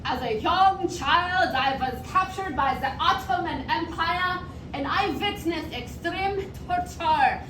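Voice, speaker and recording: Woman performing a booming, high-pitched theatrical warlord. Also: takes it slowly. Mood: grandiose. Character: teenage boy rehearsing as a grandiose Wallachian warlord. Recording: roomy live event, distant mic, loud noise.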